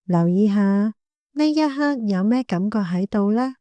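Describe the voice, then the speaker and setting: A woman with a Hong Kong Cantonese accent guiding a meditation in a gentle, calm, unhurried manner. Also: medium pitch, no room sound. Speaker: meditation guide, clinical psychologist. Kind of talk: guided body scan meditation.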